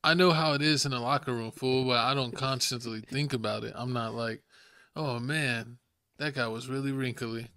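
A young man co-hosting a podcast, teasing his partner with quick comebacks in casual banter. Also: mid-range voice, steady tone, sharp tone, clean tone, no room sound, studio quality. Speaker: podcast co-host. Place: small home studio, indoors.

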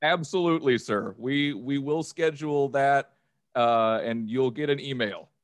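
A man acts out a strained, over-polite phone support agent for a comedy sketch. He speaks formally and haltingly, with a dry, close sound. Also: mid-range voice, no echo, natural voice. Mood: strained patience. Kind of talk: improvised comedy phone-call skit.

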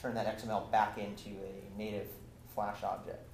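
Young male presenter, lowish voice, loose explanatory delivery full of fillers; roomy lecture hall, light hiss. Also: little pitch movement, normal speed, darkish tone. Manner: casual, explanatory, many fillers and restarts. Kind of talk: technical meetup talk. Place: lecture room with projector. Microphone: far camera. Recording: echoey room, faint hiss, from camera.

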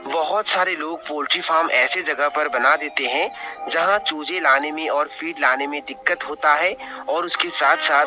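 Man, slightly high voice, scripted tutorial narration, methodical repetitive explaining, clear music behind. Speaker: poultry farmer giving advice.

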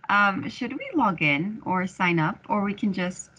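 A woman's voice: mid-range voice, expressive, a little slow, pushed and bright, rough recording. A woman joining a video call as a student participant, apologetic and a little nervous. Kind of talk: casual chat on a video call. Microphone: computer mic on a video call.

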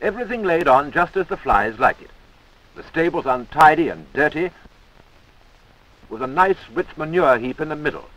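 A British man narrates a 1940s army instructional film in a fairly high voice that rises and falls with great animation. His scripted commentary is wry and chummy, heard through an old film soundtrack. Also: animated, normal speed, pauses often, a bit bright, poor audio.

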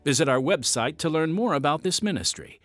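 A man's voice: on the high side, animated, brisk, a bit bright, faint music behind. A man working as a radio announcer and narrator, reading a script in an earnest, emphatic, rhetorical manner.

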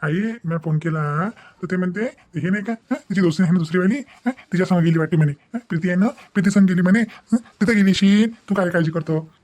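A man's voice: high-pitched, fast, few pauses, darkish tone. A man playing a dutiful young brother in a cartoon family drama, speaking politely with a Varhadi Marathi accent. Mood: concerned, obliging. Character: dutiful young son and older brother searching for his sister.

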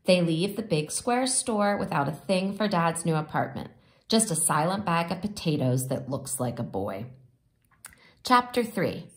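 A woman reading a children's picture book aloud expressively, sometimes pausing to explain the pictures. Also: lowish voice. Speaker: storybook reader.

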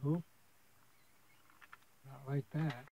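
A man casually narrating a hands-on repair demonstration at his workbench, with an unhurried, folksy manner. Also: mid-range voice, steady tone, narrow, tinny audio. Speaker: hobbyist host demonstrating radio repair. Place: indoor electronics workbench. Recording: clear background machine whir and noise.

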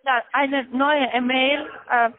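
A woman with a foreign accent, not a native German speaker, giving halting, insistent instructions as a call-centre caller. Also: high voice, steady tone, no echo. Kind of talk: scripted phone instructions, spelling out slowly. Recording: tinny, narrow-band phone line.

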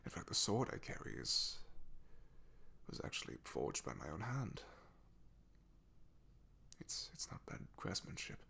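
A man playing a young, humble, devoted knight in an ASMR roleplay, soft-spoken and halting, with a breathy, gravelly half-whisper. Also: deep voice, wildly swooping pitch, heavily acted. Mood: wistful.

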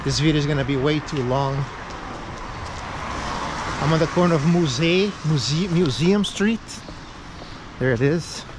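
A man's voice: on the high side, measured pace, pauses often. Joking man vlogging on a rainy street. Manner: joking, self-mocking, repeats catchphrases. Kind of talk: rambling walking vlog. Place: rainy city street outdoors. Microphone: action camera held at arm's length. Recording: street and traffic noise.

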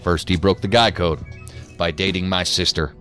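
A very deep-voiced, sardonic federal agent. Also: male voice, fairly even intonation, normal speed, fairly bright tone. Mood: bitter, sarcastic. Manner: gruff, sarcastic, clipped orders. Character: bitter, sardonic male federal agent, grudge-holding. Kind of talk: audio drama acting. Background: music.

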